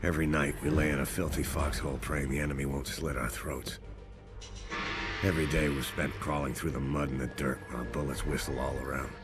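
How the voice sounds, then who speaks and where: A man's voice: a bass voice, level tone, a little fast. A man playing a worn-down American soldier in a war video game, terse and somber in his dramatic delivery. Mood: bleak weariness. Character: worn-down male American soldier reporting on hardship. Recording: under loud cinematic music.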